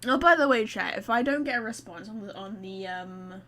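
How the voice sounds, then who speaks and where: A woman's voice: medium pitch, a little fast, bright, forceful tone, slight background noise. A woman live streaming a game, chatting informally to her viewers with wry, casual commentary.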